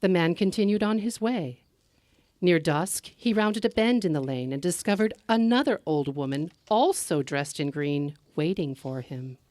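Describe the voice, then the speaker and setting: A woman telling a story live, narrating in an expressive, measured way with dramatic delivery. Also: on the low side, dry recording.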